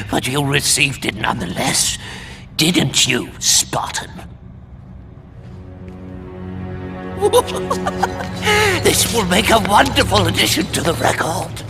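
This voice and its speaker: Game role: crazed old male captive, muttering and obsessive, slow and fairly high-voiced. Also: wildly swooping pitch, over music, exaggerated voice. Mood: taunting, gleeful. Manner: muttering, repetitive, giggling, erratic. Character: half-mad old male prisoner obsessively scribing a record. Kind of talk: dramatic video game acting.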